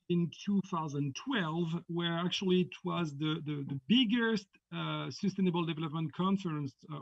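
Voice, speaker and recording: A middle-aged man with a French accent gives a halting online lecture over a video call, often repeating words. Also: high voice, no echo. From France. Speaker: guest lecturer. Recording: dull, muffled video-call audio.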